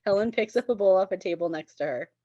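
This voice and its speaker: A woman's voice: mid-range voice, fast, warm tone, dry recording, lo-fi sound. A woman reading screenplay stage directions aloud at a table read, in a giggly way. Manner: giggly, often breaking into laughter.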